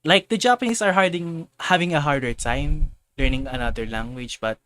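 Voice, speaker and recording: A man's voice: on the high side, moderate pace, fairly bright tone, a little hiss or hum. A man from the Philippines hosting a casual livestream, rambling, correcting himself and circling back to his point. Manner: frequent restarts and self-corrections. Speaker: livestream host. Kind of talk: casual livestream chat, answering viewers.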